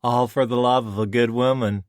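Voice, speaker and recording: A man's voice: medium pitch, steady tone, moderate pace, clean tone, no echo, clean studio sound, pitched above the performer's own voice, close to an everyday voice. A man voicing a sly, teasing female villain.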